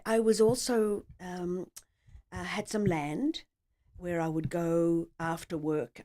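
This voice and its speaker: A woman's voice: deep voice, mellow. A middle-aged woman with an Australian accent, telling her story casually and in a rambling way as a podcast guest.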